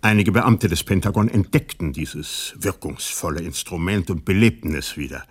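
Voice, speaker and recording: Deep husky investigator recounting a conspiracy. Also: male voice, animated, normal speed. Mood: sober, deliberate. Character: sober male investigator recounting a conspiracy he uncovered. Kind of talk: radio play narration, measured and explanatory.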